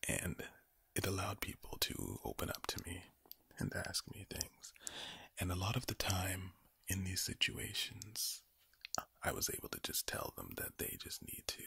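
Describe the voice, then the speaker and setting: A man whispers very close to the mic in a low, heavily breathy voice. He gives an ASMR pep talk, leaning on repetition and rhetorical questions. The creaky undertone gives him an ominous, villain-like sound. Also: sharp tone, dry recording. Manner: slow deliberate phrasing with repetition and rhetorical questions. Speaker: ASMR video creator. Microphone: close microphone.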